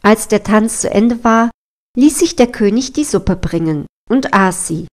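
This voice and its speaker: A woman's voice: mid-range voice, lively intonation, a little slow. A woman storyteller reading a scripted fairy tale narration. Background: music.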